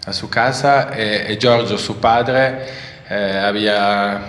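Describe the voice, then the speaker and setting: A man with an Italian accent, a native Italian speaker, presenting his book in a slow, halting, emotional speech. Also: fairly low pitch, steady tone, a bit bright, a little hiss or hum. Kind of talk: public speech. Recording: echoey hall with reverb.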